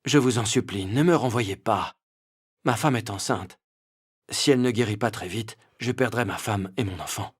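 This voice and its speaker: Man playing a husky, pleading young farmer. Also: fairly low pitch, normal speed. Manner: hesitant, imploring. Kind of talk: children's storybook acting.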